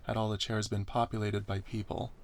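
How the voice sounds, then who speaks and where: Low, creaky male ASMR narrator. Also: level tone, a little fast, a little hiss or hum. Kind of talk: ASMR story reading, scripted first-person narration.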